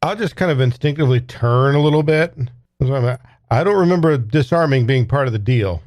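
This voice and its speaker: Man, tabletop player, average pitch, improvised role-play, action description, dry studio-like sound. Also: animated, normal speed, a little mellow, no echo.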